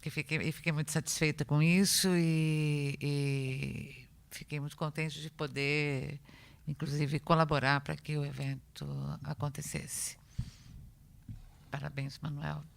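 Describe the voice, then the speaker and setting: A woman with a gravelly, mid-pitched voice offers a few words at a museum seminar. She speaks slowly and hesitantly, with frequent laughter between phrases. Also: level tone. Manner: slow and hesitant, laughs often. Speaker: seminar co-organizer. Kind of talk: brief informal remarks at a seminar.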